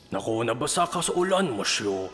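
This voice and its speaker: A man's voice: mid-range voice, very animated, moderate pace, in a large hall, lightly acted. A man voicing a kindly, courtly enchanted candelabra servant in a cartoon fan dub, hospitable in manner. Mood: welcoming. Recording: music behind the voice.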